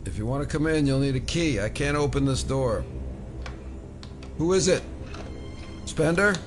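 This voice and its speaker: A man's voice: medium pitch, normal speed, over music, natural voice. A man playing a wary investigator in an audio drama, with a dry, sardonic manner. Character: wry, wary male investigator.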